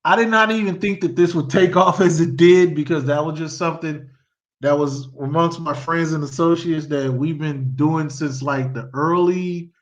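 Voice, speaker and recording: A middle-aged man hosting a casual sports talk, his voice sitting high for a man. He tells long stories in an animated, storytelling way and quizzes his guest. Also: moderate pace, muffled recording. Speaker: podcast host.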